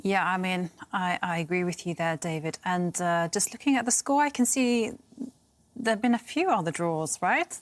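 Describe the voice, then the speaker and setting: A woman's voice: lowish voice, moderate pace. A woman chatting as a commentator on a live chess broadcast.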